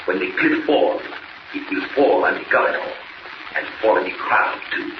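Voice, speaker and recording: Man playing Spanish-accented scheming villain, low voice, broken English, gloating, old radio serial, echoey noisy recording. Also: lively intonation, normal speed, highs cut off, close to an everyday voice. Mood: gloating, sly. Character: scheming Spanish-speaking male villain plotting a bombing. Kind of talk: 1940s radio serial acting.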